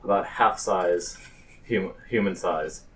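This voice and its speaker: A man narrating a tabletop game as game master, with halting, deliberate phrasing. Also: low voice, distant mic.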